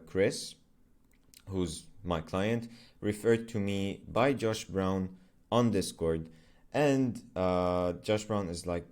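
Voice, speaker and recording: A man's voice: low voice, a little mellow. A young man whose first language is Arabic, talking casually through his business journey in a loose, filler-heavy way, often correcting himself. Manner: loose and conversational, many fillers and self-corrections. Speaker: agency founder vlogger. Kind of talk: casual explainer vlog.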